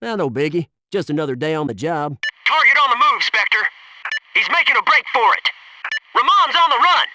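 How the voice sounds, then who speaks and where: A man's voice: high voice, sing-song, moderate pace, noisy background. A man voicing a brash, folksy special-forces soldier in a military shooter game, sarcastic and punchy in his delivery. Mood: determined, then urgent shouting. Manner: punchy, sarcastic wisecracks and urgent squad callouts.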